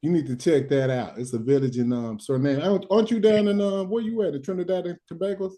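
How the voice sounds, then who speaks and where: A man's voice: on the high side, quick pace, mellow. A man hosting casual group chat on a stream, talkative and laid-back.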